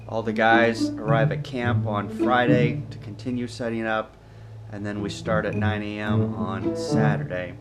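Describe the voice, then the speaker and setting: An American man giving a casual, unscripted video walkthrough as a hobbyist, halting and matter-of-fact as he goes. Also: fairly low pitch. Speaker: ham radio hobbyist vlogger. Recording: music bed behind the voice. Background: background guitar music.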